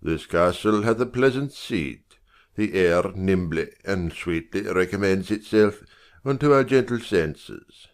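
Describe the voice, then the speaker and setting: A man playing a gracious, stately king with a measured, courtly manner in a Shakespearean audiobook drama. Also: sits low, lively intonation. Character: gracious, stately male king visiting as guest.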